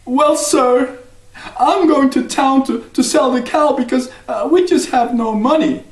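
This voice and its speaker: A man voicing a poor, naive young boy who stammers and gushes with excitement. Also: very high-pitched, measured pace, recorded from a distance, voice pushed up. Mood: earnest. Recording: echoey room, voice off-mic.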